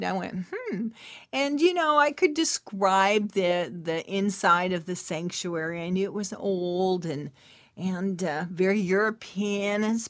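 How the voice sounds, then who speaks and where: A woman shares a spiritual testimony in a soft, intimate storytelling manner. She speaks slowly at a middle pitch, and her voice sounds dry and close, with no room echo. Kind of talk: testimony sermon.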